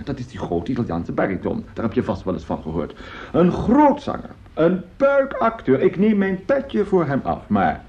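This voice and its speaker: An actor in a radio play as a boastful, jovial, germ-shy opera singer who chats effusively and repeats his words. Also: medium pitch. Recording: dull, lo-fi old radio recording.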